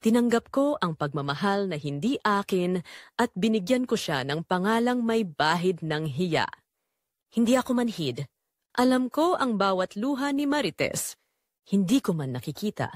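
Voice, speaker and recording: A woman playing a remorseful secretary and mistress, narrating her forbidden love in an expressive, confessional audio drama style. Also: on the low side, no echo, clean studio sound.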